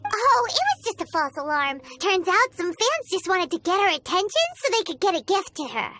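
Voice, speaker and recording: A woman voicing a tiny, cheeky, excitable childlike fairy companion in a video game, with a squeaky voice. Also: normal speed, music in the background. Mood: knowing, curious. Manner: speaks of herself in third person, exclaiming.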